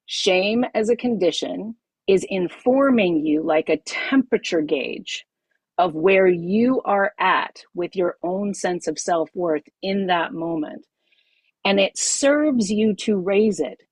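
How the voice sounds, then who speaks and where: A middle-aged woman, a yoga teacher, leading a group masterclass over a video call, emphatic and expressive, repeating her key phrases. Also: lowish voice, takes it slowly, slightly rough, no echo.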